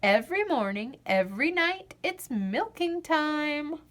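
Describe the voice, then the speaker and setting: A woman narrates a children's picture book at an unhurried pace. Her pitch sits on the high side and moves up and down freely, and she phrases each line deliberately, stretching out the refrains. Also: sing-song, fairly bright tone. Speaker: storyteller reading a children's picture book aloud. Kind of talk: expressive children's read-aloud narration.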